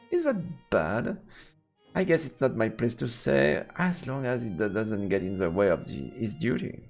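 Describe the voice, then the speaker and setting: A man's voice: lowish voice, gravelly. A man streaming a let's play, reading game text aloud and dropping in playful murmured interjections. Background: game music.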